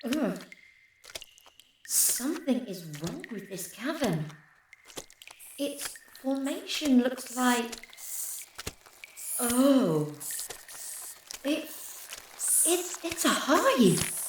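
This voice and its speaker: A woman acting an anxious resistance agent in an audio drama. Her highish voice swings widely and halts, soaked in echo. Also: very animated, very slow, pauses often, full character voice. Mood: pained, uneasy. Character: wounded, determined female resistance agent, anxious and frightened. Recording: strongly echoing, faint background noise.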